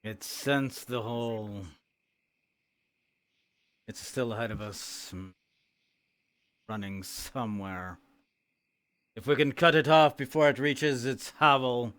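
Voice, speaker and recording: Man playing a slow, grim monster hunter. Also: medium pitch, a bit bright, no room sound. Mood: tense, focused. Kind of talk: improvised fantasy roleplay in VR.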